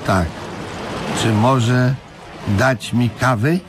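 An actor playing a dim, stubborn, literal-minded man in a comedic radio play, halting and deadpan. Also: mid-range voice. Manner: halting, deliberate, obtuse deadpan. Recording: noisy old radio play recording.

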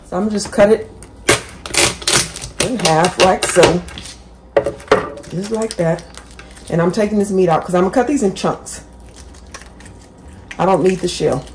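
A woman's voice: lowish voice, measured pace, pauses often, heavy background noise. A woman hosting a casual cooking tutorial from her home kitchen, chatting straight to viewers and correcting herself as she goes. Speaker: cooking channel host.

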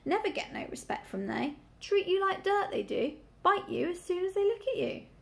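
Hoarse, grumbling old country ewe. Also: female voice, very high-pitched, sing-song, normal speed, pitched above the performer's own voice, exaggerated voice. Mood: mollified, grumbling. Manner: grumbling rustic dialect, complaining. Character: hoarse, complaining, lame old ewe, sour and mournful.

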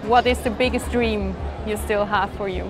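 A woman's voice: mid-range voice, fairly even intonation, normal speed, a crowd in the background, low-quality recording. A woman in her forties asking short, direct interview questions. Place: event interview set, indoors. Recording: music bed behind voice.

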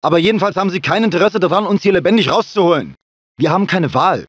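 An actor playing an embattled, dogged soldier in a video game, with clipped military delivery. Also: male voice, high-pitched, animated, fast talker, breathy edge, dry recording, highs cut off. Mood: grim, determined. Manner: clipped military commands and radio reports. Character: gruff, embattled male soldier, dogged and urgent.

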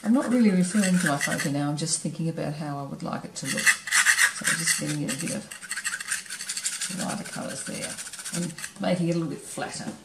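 A woman teaching painting, talking in a relaxed way as she paints and explaining each step. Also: sits low, a little fast, echoey room, very noisy. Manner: relaxed, thinking aloud while painting. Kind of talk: painting demonstration narration. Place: studio table, camera overhead.

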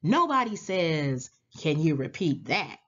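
A woman reading a children's storybook aloud in a deliberate, animated manner, drawing out words and pausing. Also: low-pitched, dull audio. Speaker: children's book read-aloud host. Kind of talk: expressive storybook read-aloud for young children.

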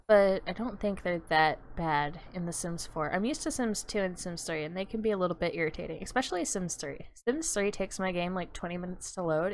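A woman giving casual, rambling commentary as she plays a life-sim game, her voice slightly raspy and crackly, with many ums and likes. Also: fairly low pitch. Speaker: gameplay YouTuber.

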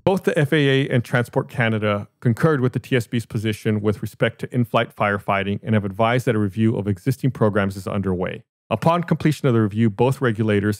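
A man's voice: fairly low pitch, no room sound, clean studio sound. An American man hosting a podcast, reading out and explaining a report in a matter-of-fact way. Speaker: podcast host.

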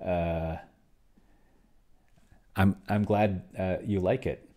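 Middle-aged male streamer, low voice, casual rambling commentary over a solo role-playing session. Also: steady tone, extremely slow, dark tone. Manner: rambling, thinking aloud. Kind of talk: casual livestream commentary while typing. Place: small cluttered home room, indoors.

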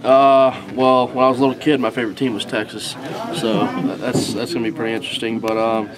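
A young man as a college football player, answering reporters in a halting way with many fillers and restarts, recorded on handheld recorders held close to him. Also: medium pitch, flat delivery, a crowd in the background, low-quality recording. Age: young adult. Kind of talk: post-practice media scrum answers. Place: indoor hallway, crowd of reporters.

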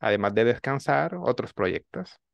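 A man in his thirties, a student in an online language class, speaking slowly and reflectively into a desk microphone in a small room. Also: mid-range voice, animated, vocal fry, no room sound, lo-fi sound. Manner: slow, reflective, measured. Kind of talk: online language lesson chat. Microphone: desk mic on boom arm.

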